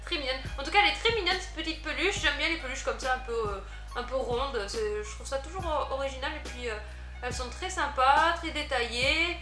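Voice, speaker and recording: A woman chatting through an unboxing vlog, easily delighted and quick to giggle. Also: high voice, roomy sound, over music. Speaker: unboxing vlogger. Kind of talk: casual product unboxing chat.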